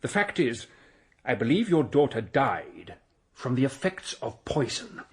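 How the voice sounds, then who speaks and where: An actor playing a grave, formal doctor in a 1920s murder mystery radio play. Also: male voice, mid-range voice, big pitch swings, measured pace.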